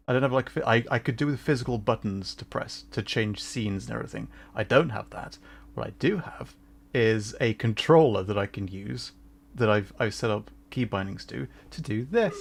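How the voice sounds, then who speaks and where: Male streamer, mid pitch, rambling and apologetic, music plainly under his voice. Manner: rambling, self-deprecating, apologetic. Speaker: VTuber streamer. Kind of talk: casual livestream chat. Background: background music.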